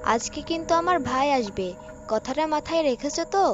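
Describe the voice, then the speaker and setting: A woman's voice: high voice, lively intonation, normal speed. A woman playing a nagging village housewife in a cartoon, with a scolding, exasperated way of talking. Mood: wifely reminding. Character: nagging village housewife, complaining about chores and money. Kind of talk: Bengali cartoon voice acting. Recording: dull lo-fi audio under clear music.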